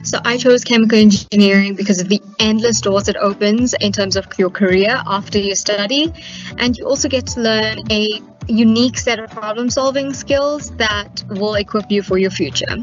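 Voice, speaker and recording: Brief: young woman, measured testimonial, dull webcam sound. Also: medium pitch, faint music behind. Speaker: recent graduate. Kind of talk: testimonial to camera.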